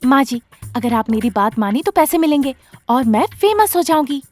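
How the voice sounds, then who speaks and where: A woman voicing a fame-hungry young daughter-in-law, excitable and wheedling, in a story. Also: high-pitched, normal speed, soft background music, voice pushed up. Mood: pleading. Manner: whiny, exclaiming, wheedling.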